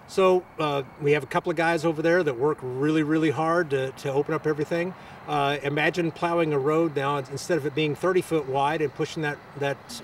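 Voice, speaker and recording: Halting man, recorded at a distance. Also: on the high side, slight background noise. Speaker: local government official being interviewed. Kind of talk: press interview answers.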